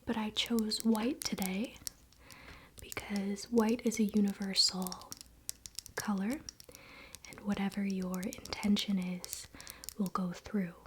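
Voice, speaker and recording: A woman whispering softly in a soothing, relaxed and informal way, as an ASMR host. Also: mid-range voice, slow, pauses often, a little hiss or hum. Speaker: ASMR video host and spiritual practitioner. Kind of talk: soft-spoken whispered ASMR.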